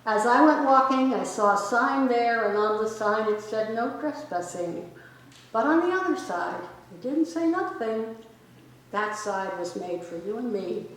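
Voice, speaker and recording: Woman speaking in a very echoey gallery. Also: on the high side. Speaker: reader at a library poetry event. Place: large indoor library art gallery. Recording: very echoey gallery with background noise.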